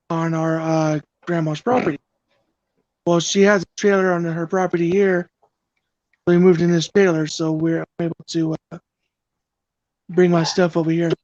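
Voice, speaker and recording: A man's voice: sits high, darkish tone, no room sound. A man in his mid thirties, a guest in a casual livestream chat, easygoing and rambling. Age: 30s. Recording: dry muffled stream audio.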